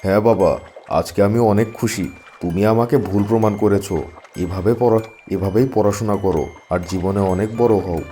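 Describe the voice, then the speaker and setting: A father from a cartoon story, talking to his son in a fatherly manner, as a man acts him out. His voice is low and warm. The delivery is steady. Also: normal speed, soft background music, natural voice. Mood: proud. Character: doubting then proud father.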